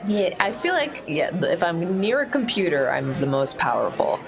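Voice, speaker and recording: Gravelly guy thinking out loud. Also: on the high side, very animated, moderate pace, a crowd in the background. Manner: laughs often, thinks aloud. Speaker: player. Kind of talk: casual tabletop play.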